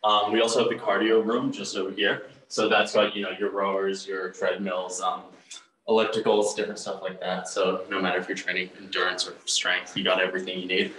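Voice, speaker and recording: A man's voice: low-pitched, little pitch movement, fairly quick, fairly bright tone. A young Australian man giving a casual walk-through as a student tour guide, recorded indoors from a few steps away. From Sydney, Australia. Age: young adult. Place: indoor gym and corridors. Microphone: phone camera a few steps away. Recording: echoey, distant, faintly noisy indoor sound.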